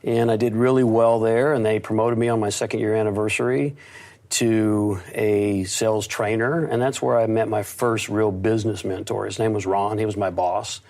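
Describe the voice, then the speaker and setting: A man's voice: lowish voice, fairly even intonation, moderate pace, darkish tone. A middle-aged man from the United States, an interview guest, telling his life story in a confident, reflective way with some stuttered restarts.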